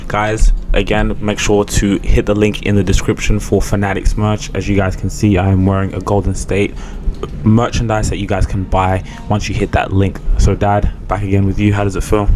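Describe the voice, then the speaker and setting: A man's voice: lowish voice, monotone, normal speed, over music. A young man hosting a family podcast, casual and upbeat, using easy slang. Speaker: podcast host. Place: on a cruise ship.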